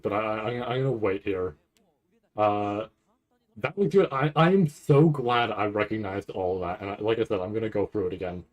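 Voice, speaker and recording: A man with a fairly low voice talks like a streamer reacting live. He rambles casually, thinks out loud as he goes and swears freely. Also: moderate pace, lo-fi sound. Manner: rambling, thinking aloud, profane. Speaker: streamer reacting to an anime trailer.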